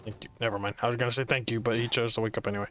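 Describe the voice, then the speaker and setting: Casting: male gamer, mid-pitched, casual and sardonic running commentary, light music behind. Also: flat delivery, very fast, a bit bright.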